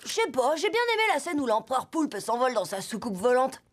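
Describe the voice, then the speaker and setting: A woman's voice: high voice, big pitch swings, fast talker, noisy background. A woman voicing a cheeky, inventive schoolboy in a children's cartoon, with a theatrical, glib delivery. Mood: upbeat scheming. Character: cheeky, inventive schoolboy who leads his friends' schemes. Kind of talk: animated children's series dub.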